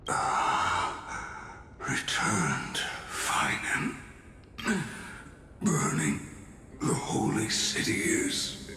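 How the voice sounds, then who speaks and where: A man voicing a weary, wounded old craftsman in a video game, breathy, weak and halting. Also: on the low side, sing-song, noisy background, full character voice. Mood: pained, exhausted. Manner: halting, inverted word order. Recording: echoing voice under game music.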